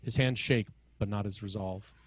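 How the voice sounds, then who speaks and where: A man's voice: on the low side, fairly even intonation, moderate pace. A man reading scene headings and stage directions as the narrator of a screenplay table read.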